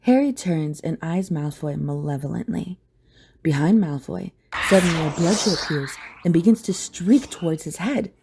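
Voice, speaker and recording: A woman's voice: low voice, animated, normal speed, background noise. A woman narrator reading a scripted story dramatically for an audio play.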